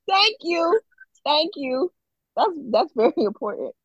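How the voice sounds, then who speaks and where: A woman's voice: very high-pitched, big pitch swings, takes it slowly, a bit bright, no echo, highs cut off. A woman co-hosting a casual podcast, rambling and giggling, full of "like" and "you know". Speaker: podcast co-host. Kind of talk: casual group chat.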